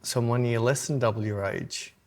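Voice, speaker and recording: A man's voice: mid-range voice, fairly quick, vocal fry. A man in his sixties co-hosting a podcast, chatting casually with dry, teasing banter. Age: 60s. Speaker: podcast co-host. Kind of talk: casual chat.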